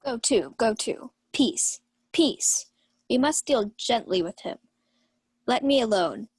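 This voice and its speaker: A woman's voice: fairly high pitch, animated, slow, darkish tone, breathy edge, no room sound, heavily acted. A young woman playing a scheming male knight, mock-solemn and theatrical, in a radio-style Shakespeare play. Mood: mock concern. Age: young adult. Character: scheming, mischievous knight uncle, feigning grave concern. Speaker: student actor.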